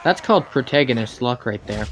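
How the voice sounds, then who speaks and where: A man's voice: mid-range voice, expressive, brisk, a little hiss or hum. A young man giving humorous, informal scripted commentary on a video game, with a sarcastic edge.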